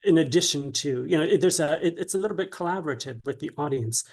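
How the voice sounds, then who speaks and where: A man speaking as an interview guest, explaining his craft conversationally in a halting way, often checking with a "right?". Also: fairly high pitch.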